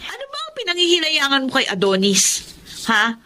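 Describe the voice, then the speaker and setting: A woman's voice: high-pitched, huge pitch swings, moderate pace, pushed and bright, slight background noise, heavily acted. A woman playing a blunt, protective young friend in a radio drama. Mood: exasperated scolding.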